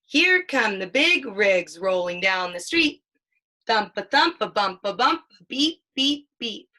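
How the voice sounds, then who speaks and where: A mother reading a children's picture book aloud, with sing-song storytelling and drawn-out sound words. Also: medium pitch, big pitch swings, pushed and bright. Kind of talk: children's read-aloud with questions.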